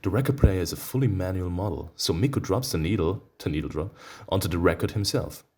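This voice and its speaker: A man reading a visual novel aloud as a let's-play host, breaking off into expressive, teasing reactions, with guitar music behind him. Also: sits low, quick pace, slightly rough.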